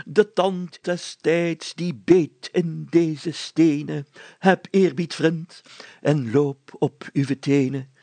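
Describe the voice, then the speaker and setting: Picture a pompous village mayor out of a storybook, acted out by a male reader. He declaims grandly and takes offence easily. His voice is pushed up high, with a rough, husky grain. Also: steady tone, slow pace, darkish tone, dry recording. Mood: grandiose. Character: pompous, blustering village mayor with poetic airs. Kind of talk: storybook character voice.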